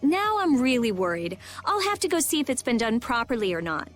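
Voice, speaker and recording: A woman's voice: fairly high pitch, big pitch swings, fairly quick, faint music behind. A woman voicing a blunt, prickly teenage girl mage in a video game, curt, sardonic and bossy. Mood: skeptical. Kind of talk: English video game dub acting.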